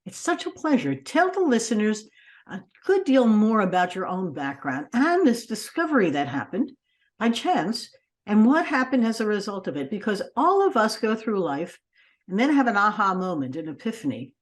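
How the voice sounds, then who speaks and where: A woman hosting a podcast, introducing her guest with an enthusiastic, expressive delivery. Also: mid-range voice, moderate pace. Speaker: podcast host.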